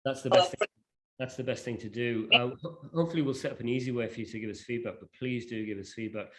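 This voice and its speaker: A man's voice: medium pitch, quick pace, a crowd in the background, rough recording. A man giving an informal online presentation and answering questions, explaining in a hesitant, conversational way. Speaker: presenter of an education product.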